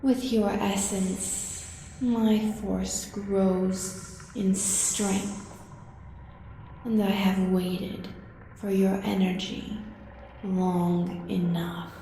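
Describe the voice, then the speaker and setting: An ancient soul-eating dark elven fiend, acted by a woman, cruel and gloating. She speaks extremely slowly in a mellow tone, drawing out each phrase hungrily. Her voice rings through a huge reverberant space. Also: medium pitch, creaky. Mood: menacing hunger. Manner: slow, savoring, deliberate delivery with long pauses. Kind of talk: monster audio roleplay monologue. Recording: cavernous echo and long reverb, faint noise.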